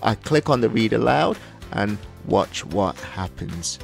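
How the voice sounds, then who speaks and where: Guy doing a screen tutorial, bit of fry in his voice, step by step, music behind. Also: lowish voice, animated, takes it slowly. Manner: step-by-step, instructional. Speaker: tutorial host. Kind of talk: screen-recorded software tutorial narration.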